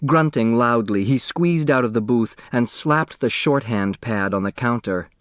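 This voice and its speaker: A man reading a story as an audiobook narrator, in expressive scripted narration. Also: medium pitch, measured pace, dry recording, studio quality.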